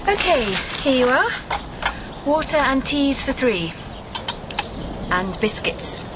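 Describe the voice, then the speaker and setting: A woman's voice: high voice, big pitch swings, slow, noisy background, heavily acted. A woman in her twenties acting in a radio drama as a polite, eager young television production assistant. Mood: polite, helpful. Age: 20s.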